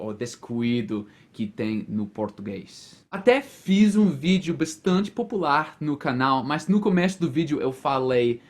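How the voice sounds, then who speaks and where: An American man speaking Portuguese as a learner with an American accent, explaining word pairs in a friendly, casual vlog. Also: mid-range voice. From United States. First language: English. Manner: friendly, relaxed, explains with examples. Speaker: language vlogger.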